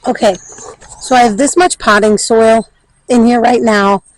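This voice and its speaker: A woman's voice: a little high, steady tone, measured pace, dry recording, poor audio. A woman chatting casually and thinking aloud as she demonstrates for a garden vlog. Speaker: garden vlogger.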